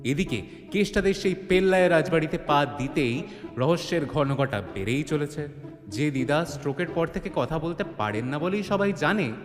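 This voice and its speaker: A man's voice: on the high side, long reverb tail. A man narrating a scripted story theatrically, with suspense and wry colloquial humour.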